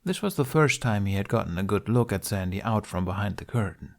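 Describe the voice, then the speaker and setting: Low-voiced man narrating a story. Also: lively intonation, a little fast, creaky. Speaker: podfic narrator. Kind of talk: scripted narration of a fantasy story.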